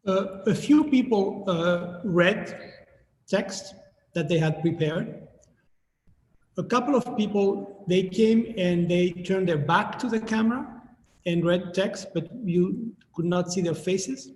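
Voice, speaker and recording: Middle-aged Chilean-born man, high voice, echoey room. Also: a little mellow, a little hiss or hum. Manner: measured, restarts phrases while thinking. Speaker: artist guest. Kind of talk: thoughtful Q&A answers. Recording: echoey room with long reverb.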